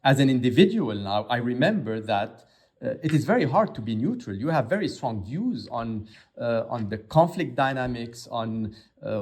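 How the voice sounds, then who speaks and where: Guy from lebanon answering interview qs, lots of uhs, measured. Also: mid-range voice, a little mellow. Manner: hesitant, many filler sounds. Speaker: aid agency director.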